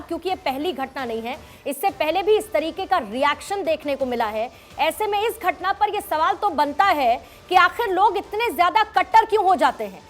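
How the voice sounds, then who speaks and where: Female TV debate anchor, extremely high pitch, pushes hard and repeats questions, light music underneath. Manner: insistent, pressing, repeats questions. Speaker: debate show host. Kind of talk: forceful live TV debate moderation.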